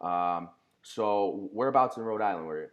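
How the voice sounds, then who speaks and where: A man from Connecticut hosting a podcast interview, speaking earnestly with halting restarts. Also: fairly low pitch, animated, muffled recording. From Connecticut, USA. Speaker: podcast host. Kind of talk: casual interview.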